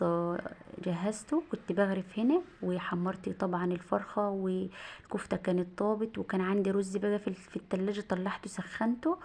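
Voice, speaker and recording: A middle-aged woman with an Egyptian accent chatting casually to her viewers as a cooking vlogger, rambling with many fillers, her voice a little hoarse and tired. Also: mid-range voice, darkish tone. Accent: Egyptian Arabic.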